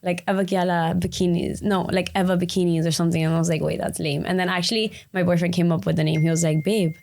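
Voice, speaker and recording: A woman's voice: fairly low pitch, steady tone, fast, gravelly, darkish tone, a little hiss or hum. A woman in her twenties from Cuba, a podcast guest telling her story in casual chat, full of "like" fillers. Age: 20s. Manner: chatty, lots of "like" fillers.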